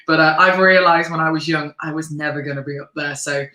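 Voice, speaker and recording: English woman, deep bright voice, chatty singer guest, roomy echo. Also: steady tone, brisk. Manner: chatty, laughs often, many restarts. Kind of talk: conversational interview.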